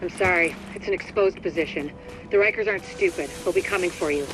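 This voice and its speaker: An actor playing a serious, determined mission commander briefing an agent in a video game. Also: male voice, sits high, fast talker, noisy background, close to an everyday voice. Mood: worried, urgent. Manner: serious, urgent.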